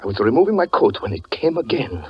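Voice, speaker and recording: An anguished, brooding magician confessing his obsession in 1940s radio suspense style. His delivery is theatrical and intense, with halting pauses and sweeping pitch. The broadcast sounds muffled and old, with music behind him. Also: very animated, husky. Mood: haunted, brooding.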